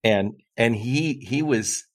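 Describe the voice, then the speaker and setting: A man's voice: medium pitch, slow. A man hosting a podcast interview, asking informal questions with a joking tone. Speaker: podcast host. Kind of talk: casual interview chat.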